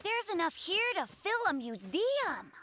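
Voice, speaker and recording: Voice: extremely high pitch, huge pitch swings, unhurried, noisy background, exaggerated voice. An actor voicing a sensible, friendly young male polar bear cub in a children's cartoon, childlike and matter-of-fact. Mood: curious, eager. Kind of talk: children's animated cartoon acting. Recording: music clearly behind the voice.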